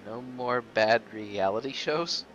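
A man's voice: mid-range voice, slow, fairly bright tone, a little hiss or hum, poor audio. A man playing Neil, an injured young former track runner, eager and boyish. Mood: easygoing.

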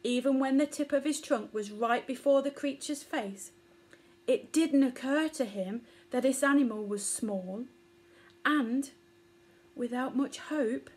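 Woman, thirties, higher-pitched, expressive children's book narration. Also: a little slow. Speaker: storyteller. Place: small living room or bedroom, indoors.

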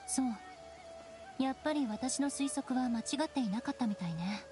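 Woman playing a high-voiced haughty young knight. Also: normal speed, close to an everyday voice. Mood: composed. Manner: haughty, composed, defensive. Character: proud, aloof young noblewoman knight. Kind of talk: anime game voice acting. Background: game music.

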